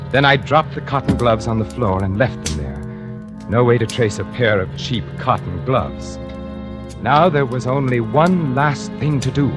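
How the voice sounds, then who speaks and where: A man's voice: medium pitch, close to an everyday voice. Male actor as scheming artist, cultured, condescending, theatrical, 1940s radio thriller, music underneath. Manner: refined, theatrical, deliberate and condescending. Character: cultured, scheming male painter covering up a murder. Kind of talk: 1940s radio drama acting, first-person suspense narration. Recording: vintage radio broadcast with music.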